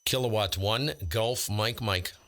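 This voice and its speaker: A man's voice: fairly low pitch, measured pace, fairly bright tone. A man hosting an amateur radio live stream, trading call signs and making grumbling, exasperated asides.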